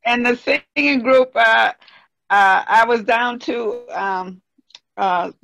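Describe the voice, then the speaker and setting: Man, high bright voice, dry close sound, hesitant. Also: vocal fry, dry recording, low-quality recording. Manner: slow, searching for words. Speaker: program participant.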